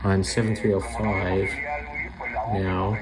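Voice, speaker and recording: A man's voice: low-pitched, level tone, slow, over a noisy crowd. A man chatting casually and unscripted as he demonstrates a shortwave radio, thinking aloud into a camera on a small tripod. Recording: noisy, radio static and hiss. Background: shortwave radio noise.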